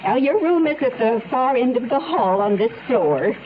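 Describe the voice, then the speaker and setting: Woman acting a kindly grandmother, lively high voice. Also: moderate pace, natural voice. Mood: hospitable. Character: kindly, hospitable, unworried grandmother. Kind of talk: 1970s radio drama acting. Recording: dull old radio recording, faint hiss.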